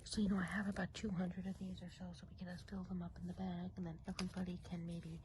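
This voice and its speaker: A woman playing a friendly, chatty Christmas witch of the woods in a gentle, breathy ASMR whisper. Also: fairly low pitch, level tone, noisy background, close to an everyday voice. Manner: gentle, unhurried, chatty and hesitant. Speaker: ASMR role-play host. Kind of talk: whispered ASMR role play.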